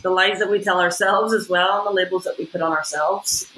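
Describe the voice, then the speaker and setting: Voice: medium pitch, steady tone, fairly quick, pushed and bright, rough recording. A speaker in casual conversation about spirituality, talking emphatically with laughs and frequent swearing.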